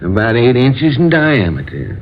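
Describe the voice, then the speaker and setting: A man playing a doubting doctor, lively voice. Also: medium pitch, moderate pace, close to an everyday voice. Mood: doubtful. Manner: questioning, amused doubt. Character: skeptical male doctor friend. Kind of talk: 1940s radio drama acting. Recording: lo-fi old radio recording with faint hiss.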